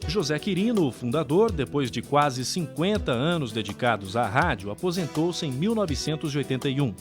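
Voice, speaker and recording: A man's voice: on the high side, animated, fairly quick, soft background music. A man with a Brazilian accent reading scripted documentary narration as the narrator.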